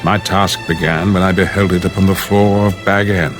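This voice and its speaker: Actor as a deep, solemn old wizard. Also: male voice, fairly even intonation, moderate pace, close to an everyday voice. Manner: solemn, portentous. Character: grave, wise old male wizard narrating war plans. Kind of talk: dramatic scripted narration. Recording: orchestral music behind the voice.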